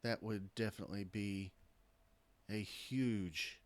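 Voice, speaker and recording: Hoarse man thinking aloud over gameplay. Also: on the low side, fairly even intonation, slow. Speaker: gameplay commentator. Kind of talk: casual let's-play commentary.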